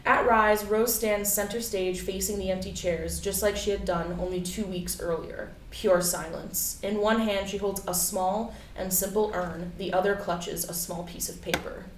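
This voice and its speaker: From some way off in an echoey room, a woman reads stage directions in a mid-pitched voice. It is scripted narration, with faint noise behind it. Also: fairly even intonation, moderate pace, few pauses, distant mic.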